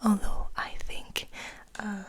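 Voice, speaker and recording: A woman whispering softly in an ASMR style, reading and rambling in a hushed, unhurried, gentle way. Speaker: ASMR host.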